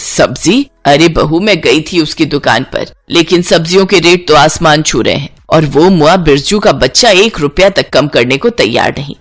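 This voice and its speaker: Woman acting a nagging older mother-in-law, bossy, dismissive, penny-pinching, pitched under the narration, storybook character. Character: stingy, scolding older Indian mother-in-law.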